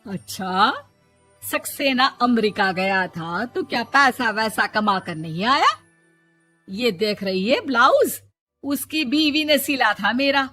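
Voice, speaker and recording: A woman voicing a stern, disapproving elderly grandmother in a family moral story, husky, blunt and scolding. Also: on the high side, slow pace, sharp tone, faint music behind. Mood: sarcastic.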